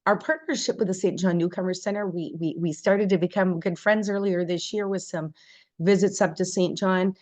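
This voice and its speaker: A woman from Canada hosts an online information session over a video call. Her voice sits a little low, and her manner is friendly as she moderates. The sound is dry, with almost no room echo. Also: fairly even intonation, normal speed. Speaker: host.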